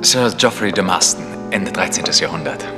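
An actor playing an earnest, worried village vicar who explains things in a 1960s radio play. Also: male voice, deep voice, normal speed, over music, natural voice. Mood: earnest, concerned.

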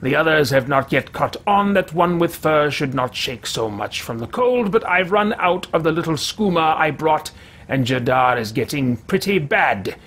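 A man's voice: a little high, lively intonation, moderate pace, fairly bright tone. A man in his thirties roleplaying a formal, meticulous, irritable wizard adventurer in a video game, with stilted theatrical speech. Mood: measured, reading aloud. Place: small room at home with shelves.

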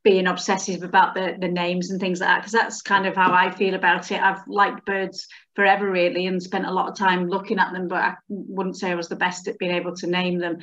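A middle-aged woman hosting a casual video call chat, speaking warmly with hesitant fillers. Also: on the low side, monotone, brisk. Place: room at home by a window. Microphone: webcam on a video call. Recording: dull lo-fi video call audio.

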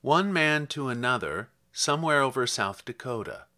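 A man reading his own literary poems as a poet, with measured, deliberate phrasing and dramatic emphasis. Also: mid-range voice, expressive, bright, forceful tone.

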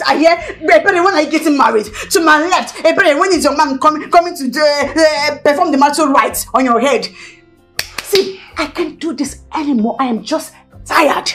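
A woman's voice: sits high, animated, normal speed, fairly bright tone, faint music behind. An actress playing a frustrated young woman tired of waiting to be married, scolding and mocking. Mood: indignant. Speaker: actor. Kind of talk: Nigerian film drama acting.

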